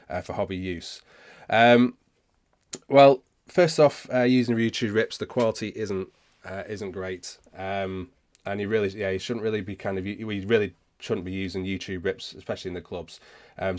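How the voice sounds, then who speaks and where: A man hosting a casual live question-and-answer session, speaking haltingly and often rephrasing himself as he goes. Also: fairly low pitch. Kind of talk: casual livestream chat, answering viewer questions.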